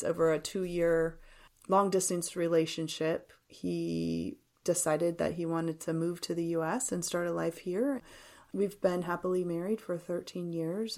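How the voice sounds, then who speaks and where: A woman's voice: lowish voice, a little mellow. A woman in her fifties speaking reflectively and earnestly as an author being interviewed, explaining her ideas. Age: 50s.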